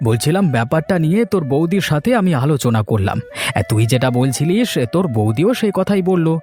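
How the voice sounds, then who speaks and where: A man's voice: a little high, sing-song, quick pace, warm tone. A man voicing a simple, trusting village husband in a cartoon story, speaking in a mild, hesitant manner. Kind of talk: Bengali cartoon story voice acting. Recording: music bed under the voice.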